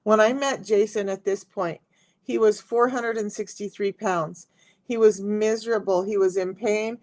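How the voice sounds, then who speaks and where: A middle-aged woman with a raspy voice, chatting casually to viewers on a live stream as a health coach. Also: medium pitch, steady tone, a little slow, a little mellow. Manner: chatty, talks straight to viewers.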